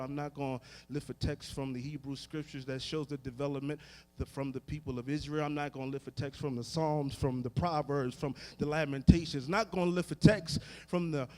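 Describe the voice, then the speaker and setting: A fairly high-voiced American man preaches in a big hall with long reverb. He is a Baptist pastor delivering an impassioned sermon. His delivery is emphatic and oratorical, leaning on deliberate repetition and a building cadence. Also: a little mellow. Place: large indoor hall, live event.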